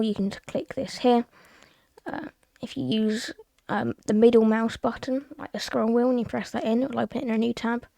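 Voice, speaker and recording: A British woman narrates a casual screen tutorial in a fairly high voice full of heavy vocal fry. Her tone is soft-edged and mellow, and she explains things plainly, one step at a time. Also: no room sound. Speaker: tutorial presenter.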